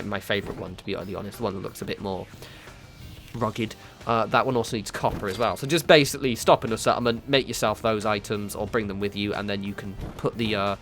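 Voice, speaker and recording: A man's voice: on the low side, a bit bright. A man with a stuffy nose from a cold, giving rambling, casual gameplay commentary with plenty of self-corrections and offhand swearing. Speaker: gaming video creator.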